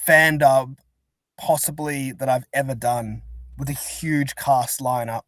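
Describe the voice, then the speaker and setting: A man's voice: medium pitch, steady tone, slow, fairly bright tone, no room sound. A man chatting casually as an interview guest, talking in a rambling, chatty way. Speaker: interview guest, fan-dub creator. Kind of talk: casual chat.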